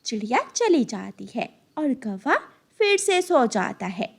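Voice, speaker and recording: A woman's voice: fairly high pitch, sing-song, unhurried, gravelly, breathy edge. A woman narrating a scripted children's story.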